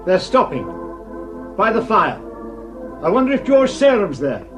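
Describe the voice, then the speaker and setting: A man's voice: high-pitched, big pitch swings, unhurried, over music. A man acting a sardonic police superintendent in a radio drama, questioning with wry insistence. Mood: wondering. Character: sardonic, probing police superintendent investigating a murder. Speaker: actor.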